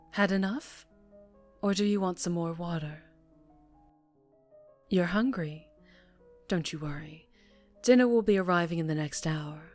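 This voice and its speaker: A woman playing a ruthless, teasing mafia boss in an ASMR roleplay, commanding and condescending, often dropping into breathy murmurs. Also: fairly low pitch, slow, pauses often, darkish tone, soft background music. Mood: teasing, dominant.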